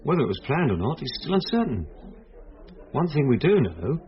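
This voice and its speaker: An actor playing a calm, reassuring police detective who explains a case in a measured way, in a radio drama. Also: male voice, mid-range voice, lo-fi sound, natural voice. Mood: explaining, serious. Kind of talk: naturalistic radio drama acting.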